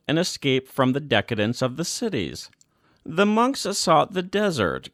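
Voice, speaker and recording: A man giving a scripted reading of a religious document, phrase by measured phrase, with deliberate emphasis. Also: fairly high pitch, no echo, studio quality. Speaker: reader.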